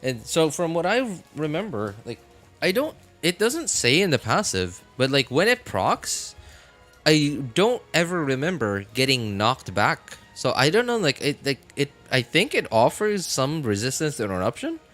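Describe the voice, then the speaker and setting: A man talking casually on a live game stream in a rambling, halting way, often correcting himself. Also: fairly high pitch, sing-song, fairly bright tone, soft background music.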